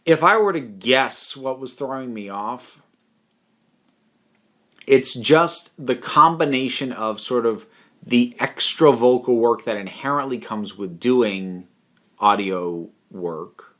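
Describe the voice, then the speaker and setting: Halting, thoughtful man reviewing casually. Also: medium pitch, sharp tone. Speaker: online reviewer. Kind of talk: casual spoken review commentary.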